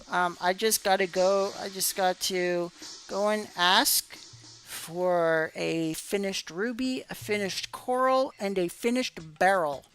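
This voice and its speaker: Slow, hoarse woman streamer. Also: mid-range voice. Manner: rambling, filler-heavy, apologetic. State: hoarse from hours of talking. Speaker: game tutorial streamer. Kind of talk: casual live-stream commentary.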